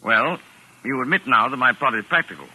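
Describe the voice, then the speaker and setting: A pompous, unflappable male novelist in a 1940s radio mystery. His voice is very bright and forceful, with lively swings of pitch. He declaims theatrically, as on an old broadcast. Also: a little fast, slightly rough, exaggerated voice, low-quality recording. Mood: pompous, brisk.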